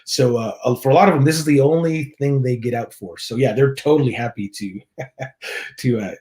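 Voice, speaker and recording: A man's voice: mid-range voice, normal speed, a little mellow. A man from Mexico who leads a church's contemporary worship, chatting easily as an interview guest, laughing often and restarting his sentences. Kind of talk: casual interview chat.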